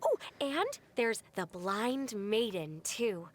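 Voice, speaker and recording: A woman's voice: fairly high pitch, sing-song, takes it slowly, a little hiss or hum. A woman voicing a snide, dismissive young female agent in a game. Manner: sarcastic, dismissive.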